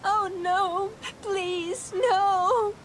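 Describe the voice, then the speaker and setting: A woman's voice: very high voice, very slow, a bit bright, slight background noise, heavily acted. An actress playing a terrified, helpless woman crying out in a fantasy video game cutscene. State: frightened, crying out. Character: terrified, helpless female victim.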